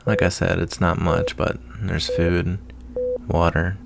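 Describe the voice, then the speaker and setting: A man playing a kindly, easygoing wasteland doctor in a soft-spoken, intimate ASMR roleplay, reassuring a patient in a folksy way. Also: deep voice, level tone, slow, gravelly, heavy background noise, full character voice.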